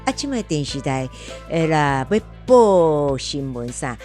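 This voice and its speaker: A woman's voice: low voice, sing-song, unhurried, a little mellow, soft background music. A woman with a Taiwanese accent co-hosting a podcast in casual chat, putting in short reactions and plain-spoken remarks. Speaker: podcast co-host.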